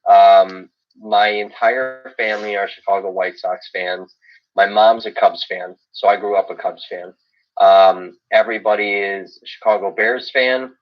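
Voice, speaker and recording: A man's voice: on the low side, fairly even intonation, a little slow, faint music behind. A middle-aged American man from Illinois chatting casually and emphatically, repeating phrases for weight. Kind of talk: casual chat. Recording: dull, band-limited stream audio.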